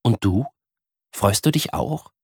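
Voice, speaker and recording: A man doing a hurried, stressed mother. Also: lowish voice, lively intonation, slightly rough, no echo, clean studio sound, heavily acted. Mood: questioning. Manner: hasty, scolding.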